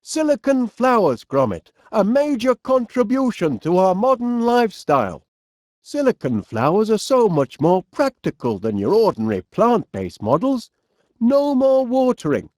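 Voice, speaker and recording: A man voicing a genial, polite, eccentric middle-aged inventor with a Northern English accent in an animated comedy game. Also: sits high, dry recording, studio quality, heavily acted. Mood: cheerful, pleased. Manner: polite, quaint British turns of phrase, drawn-out words. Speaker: video game voice actor.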